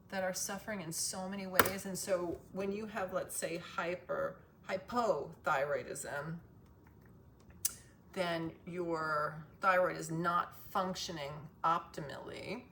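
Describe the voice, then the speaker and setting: A woman giving casual, rambling wellness advice with emphatic swearing and tangents, her voice strained from loud singing. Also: on the low side, takes it slowly, a little hiss or hum. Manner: profane, emphatic, goes off on tangents. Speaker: wellness vlogger sharing a self-cure.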